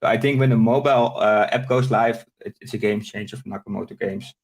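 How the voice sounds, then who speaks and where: A man from the Netherlands, Dutch his first language, explaining things as an interview guest with frequent hesitant fillers. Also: fairly low pitch. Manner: explanatory, with many filler pauses. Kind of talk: casual interview.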